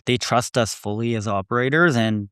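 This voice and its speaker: A man's voice: medium pitch, fairly bright tone, clear, resonant tone, no room sound, studio quality. A young man, a startup founder raised in Canada after a childhood in Korea, talking casually in a podcast interview, with frequent fillers and laughs. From South Korea, raised in Canada. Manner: quick, conversational, frequent "like" and laughs.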